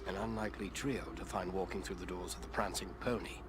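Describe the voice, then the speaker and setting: An actor playing a wary, commanding ranger leader in a fantasy video game, speaking gravely and formally. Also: male voice, low voice, steady tone, fast, noisy background, lightly acted. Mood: guarded greeting.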